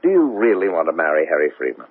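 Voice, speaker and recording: An actor playing a modest, sensible country doctor in a 1970s radio drama, with a husky, gravelly voice and a measured, probing manner. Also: male voice, a bass voice, huge pitch swings, exaggerated voice. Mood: gently probing. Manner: measured, probing, plain-spoken. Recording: thin phone-like old radio broadcast.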